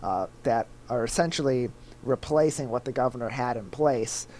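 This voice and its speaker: A man co-hosting a talk show, slightly stuffed up, explaining the news in a measured, casual way with some fillers. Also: mid-range voice, darkish tone, a little hiss or hum. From Michigan. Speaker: co-host.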